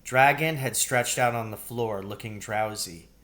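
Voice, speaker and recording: Male narrator, middle-aged, mid-pitched, reading a storybook aloud at home. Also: measured pace, fairly bright tone. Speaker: reader, teacher. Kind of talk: scripted narration, reading a children's novel aloud. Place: wooden-ceilinged room at home, indoors.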